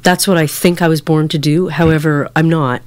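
A woman's voice: sits low, moderate pace, no room sound. A middle-aged woman from Toronto, a singer-songwriter guest chatting in a small studio. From Toronto, Canada. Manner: loud, lively storyteller. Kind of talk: casual chat. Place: small wood-panelled studio. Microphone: studio mic on stand.

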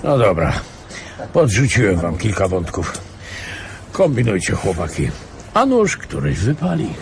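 An actor playing a world-weary, sardonic investigator in a radio play, wry and offhand, with small grunts. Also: male voice, lowish voice, huge pitch swings, measured pace, gravelly, background noise, full character voice. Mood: wry, teasing. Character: sardonic, world-weary male veteran investigator advising younger detectives.

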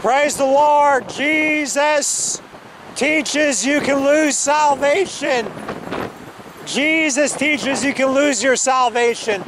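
A man's voice: very high voice, slow. A man doing open-air street preaching and then debating a passerby, insistent and earnest, recorded on a camera in a parking lot. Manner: loud, insistent preaching that drops to calmer conversation. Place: outdoor church parking lot. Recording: wind noise on an outdoor camera mic.

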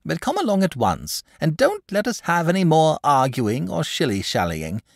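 Storybook dragon, played by a man: idle, self-satisfied, blustery drawl, swooping pitch, gravelly edge. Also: wildly swooping pitch, moderate pace, dry recording, clean studio sound, exaggerated voice. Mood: blustering, indignant. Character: lazy, jovial, self-satisfied sleepy male dragon. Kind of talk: storybook character voice.

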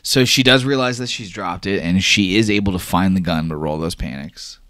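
A man runs a horror tabletop game in a low, forceful voice. He improvises vivid narration emphatically and casually, peppered with profanity, in a very dry acoustic. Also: normal speed, dry recording. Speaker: game master.

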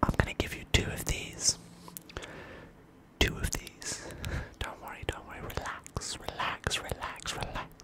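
A young man whispers very close to the microphone in an ASMR roleplay as a shady, secretive dealer, breathy and furtive, often repeating words over and over. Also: a little slow. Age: young adult. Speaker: ASMR performer. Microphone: close studio microphone at the mouth.

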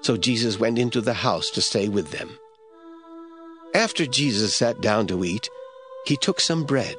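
Narrator: male, low-pitched, reading scripture from a script for audio drama, light music underneath. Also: animated, a little slow, fairly bright tone.

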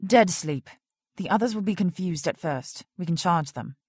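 A woman's voice: fairly low pitch, lively intonation, moderate pace, slightly rough, dry recording, clean studio sound. A woman acting a cool, haughty animal expert with assertive, clipped delivery in an audio drama. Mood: cool, analytical.

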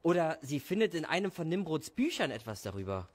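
A man's voice: a little high, very animated, brisk. A man playing an easygoing village father, casual and slightly defensive, in an audio drama.

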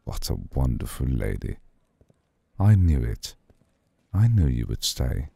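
An ancient vampire lord, acted by a man, speaks in an extremely low, husky rumble. He is slow, formal and seductive, with cool courtesy and a possessive edge. The ASMR roleplay is recorded dry and close. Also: very animated, mellow, dry recording, heavily acted. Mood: soothing, seductive. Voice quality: very deep, gravelly.

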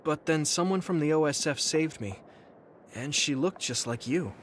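Man playing an earnest, high-pitched young soldier. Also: normal speed, slight background noise, natural voice. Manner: earnest, hesitant, polite. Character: earnest, polite, slightly awkward young male soldier. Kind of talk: anime-style video game dubbing.

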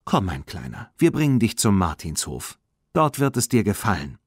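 A man voicing a kind, excitable young horse-loving girl in a German children's audiobook, with a standard German accent. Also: lowish voice, moderate pace, breathy edge, dry recording, studio quality. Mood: gentle. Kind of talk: children's audiobook character reading.

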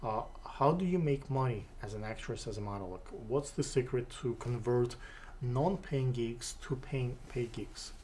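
A middle-aged man with a Russian accent hosting a studio interview, asking questions haltingly with many fillers and restarts. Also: medium pitch, darkish tone, slight background noise. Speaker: interview host. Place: studio with city backdrop, seated on sofa.

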